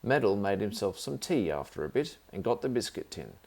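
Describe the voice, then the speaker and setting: A man's voice: lowish voice, very animated, fairly quick, creaky, a little mellow. A man reading a children's story aloud as the narrator.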